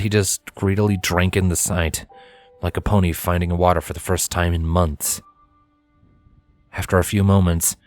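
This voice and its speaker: Low-voiced man narrating a story. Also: darkish tone. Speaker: fan fiction narrator. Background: background music.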